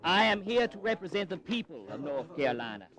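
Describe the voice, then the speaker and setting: Conceding, earnest colonial delegate, lightly pitched, formal, for historical audio drama. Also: male voice, sing-song, brisk, a crowd in the background. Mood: resigned, resolute.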